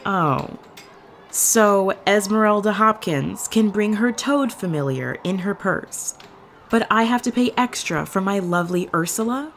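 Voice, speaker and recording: A woman playing an earnest young witch who tells her story in a measured way, as in an audio drama. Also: mid-range voice, animated, slight background noise. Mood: indignant, huffy. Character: earnest young witch fondly recounting meeting her familiar. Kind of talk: audio fiction monologue, storytelling.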